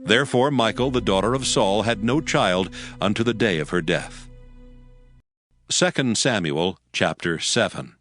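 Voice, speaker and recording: Low-voiced male scripture narrator. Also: measured pace, soft background music. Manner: steady, formal storytelling.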